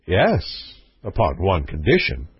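A man voicing a calm young prince from a storybook, speaking with cool, polite nonchalance. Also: low voice, unhurried, breathy edge, no room sound, muffled recording, pitched below the performer's own voice, heavily acted. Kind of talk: storybook character voice.

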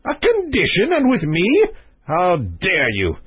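Guy doing a pompous old fairytale king, high pitched n blustery, quick to rage, dull lo-fi recording. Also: sing-song, slightly rough, exaggerated voice. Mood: furious. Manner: blustering, imperious exclamations. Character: hot-tempered, pompous old king, easily enraged. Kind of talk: storybook character voice.

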